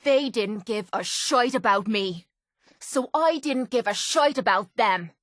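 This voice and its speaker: A woman's voice: a little high, expressive, unhurried, dry recording. Tough young Irish woman fighter, brash, bitter, foul-mouthed, slangy, video game character, close dry studio sound. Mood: bitter, wounded. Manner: brash, blunt, swearing, slangy.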